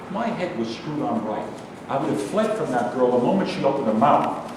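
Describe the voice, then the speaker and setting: A man's voice: mid-range voice, expressive, a little fast, lightly acted. An actor playing a bitter, self-pitying man, ranting defensively and ruefully in a staged play reading. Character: bitter, self-pitying male loser recounting his failed love life. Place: live staged reading in a reverberant room. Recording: very echoey hall, distant mic, faint noise.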